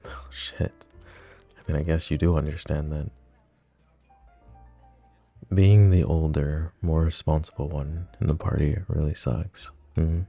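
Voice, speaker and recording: An actor playing a possessive, flirty mafia boss in an ASMR roleplay drama, teasing and smug with a cold, threatening edge. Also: male voice, extremely low pitch, steady tone, unhurried, gravelly, pauses often, warm tone, soft background music, full character voice. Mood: wry.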